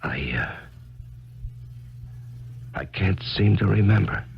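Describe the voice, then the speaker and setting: Casting: a numb, hollow lone drifter, very deep gravelly voice, halting and unsure, old radio-play sound with music under it. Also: male voice, expressive, exaggerated voice. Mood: wistful. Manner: hesitant, halting, self-doubting. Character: despondent lonely man, numb and withdrawn. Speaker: lead actor. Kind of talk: 1970s radio drama acting. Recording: dull 1970s radio broadcast.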